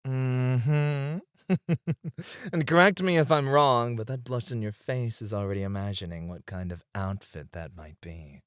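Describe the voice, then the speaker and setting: A man playing a suave, flirtatious male escort in an ASMR roleplay, teasing and courteous, with drawn-out phrasing. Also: mid-range voice, clear, resonant tone, no echo, clean studio sound, close to an everyday voice. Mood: teasing, boastful. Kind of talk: ASMR roleplay, addressed to one listener.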